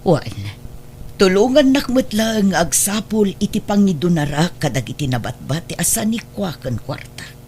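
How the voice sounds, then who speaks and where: A woman's voice: mid-range voice, animated, moderate pace, a little mellow, a little hiss or hum, close to an everyday voice. An actor playing a stern, resolute older wealthy adoptive mother with an Ilocano accent in a radio drama. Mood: solemn, measured.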